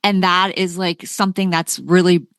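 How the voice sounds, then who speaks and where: A woman's voice: fairly low pitch, little pitch movement, moderate pace, dry recording, clean studio sound. A woman chatting casually on a podcast in an encouraging, affirming manner, restating phrases for emphasis. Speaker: podcast co-conversationalist. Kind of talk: casual chat.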